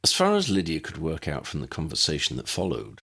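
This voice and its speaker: A man with a gravelly, husky voice reading scripted story narration. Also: very deep voice, wildly swooping pitch, fairly quick, bright, forceful tone. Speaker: narrator, audiobook reader.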